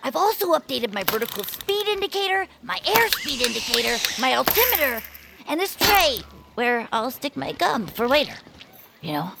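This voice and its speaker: High-pitched, creaky-edged young inventor bird. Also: female voice, big pitch swings, moderate pace, heavy background noise, heavily acted. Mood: eager, insistent. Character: plucky young female bird-like inventor, dreamy and stubbornly confident. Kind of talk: family audio fiction acting.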